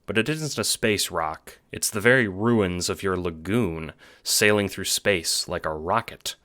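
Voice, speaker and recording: Low-pitched man narrating an audio drama. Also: a little slow, sharp tone, no room sound. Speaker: narrator, writer and producer. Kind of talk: scripted audio book narration in second person.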